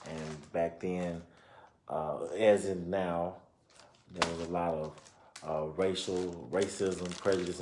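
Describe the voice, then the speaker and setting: A man reading a children's book aloud and pausing to explain and comment informally to his listeners. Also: low-pitched, darkish tone, slight background noise. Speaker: reader presenting a children's book. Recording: roomy, echoing sound.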